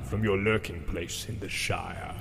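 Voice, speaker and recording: A man voicing a proud, scornful old male wizard who speaks slowly and quietly with a sneer. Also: sits low, fairly even intonation, gravelly, in a large hall, music in the background, pitched below the performer's own voice. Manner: slow, hushed, sneering.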